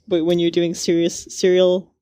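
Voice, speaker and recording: A woman's voice: lowish voice, completely flat delivery, normal speed, dark tone, no echo, clean studio sound. A woman co-hosting a casual book-discussion podcast, talking in a musing, tentative way. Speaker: podcast co-host.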